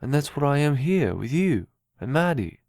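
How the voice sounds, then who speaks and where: A man's voice: fairly high pitch, very animated, a little mellow, no room sound, clean studio sound. Man acting a naive, childlike young man. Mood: hesitant, earnest. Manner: childlike, halting, simple phrasing. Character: naive, simple-minded, eager, anxious young man. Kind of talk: amateur audio drama dialogue.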